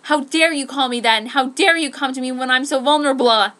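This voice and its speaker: Woman acting as emotional girl heroine, defiant, indignant, melodramatic, high bright voice, story reading. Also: brisk. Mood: furious.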